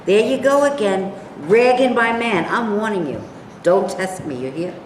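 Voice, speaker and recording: A man's voice: high-pitched, animated, normal speed. Man acting a brash hothead in an echoey hall. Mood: combative. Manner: blustery, confrontational. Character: brash, combative Trump-supporting man, quick to take offence. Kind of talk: staged reading of a play. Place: indoor stage reading, live room. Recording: echoey hall, faint background noise.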